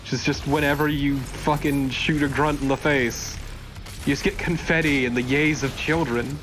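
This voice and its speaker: Wry young man with a medium-pitched voice. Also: steady tone, normal speed, noisy background. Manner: wry, profane. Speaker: let's play co-host. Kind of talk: casual joking gameplay chat.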